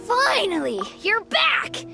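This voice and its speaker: A woman's voice: very high-pitched, huge pitch swings, slow, vocal fry, heavily acted. A woman voicing an earnest, plucky little village boy in a video game, eager in manner. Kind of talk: video game child character acting. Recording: game music behind.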